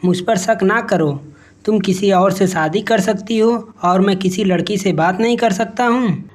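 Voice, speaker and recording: Woman playing cocky, lowered-voice young street tough. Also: unhurried, a little mellow, lightly acted. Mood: defensive. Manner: brash, slangy, manipulative.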